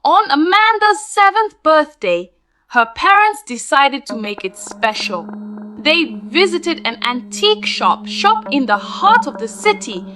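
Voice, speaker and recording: Female storyteller, bright forceful voice, unhurried pace, dramatic scary-tale narration, close dry sound. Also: high voice, big pitch swings, dry recording. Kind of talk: scripted narration of a spooky folktale.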